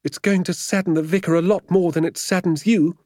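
A man voicing an indignant, excitable British vicar's wife in a dramatic, wailing manner for an audiobook. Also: high voice, brisk, dry recording, studio quality, voice pushed up, lightly acted. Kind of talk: audiobook character voice.

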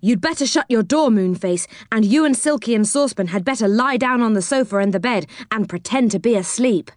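An excitable young storybook boy played lightly by a woman. He speaks eagerly and urgently, with a slightly raised, animated voice. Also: on the high side, fairly quick, dry recording, studio quality, voice pushed up, natural voice. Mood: urgent planning.

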